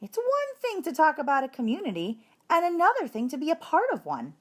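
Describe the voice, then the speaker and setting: A woman voicing a kindly but firm female schoolteacher who addresses her class in a deliberate, instructive way. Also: high-pitched, huge pitch swings, voice pushed up.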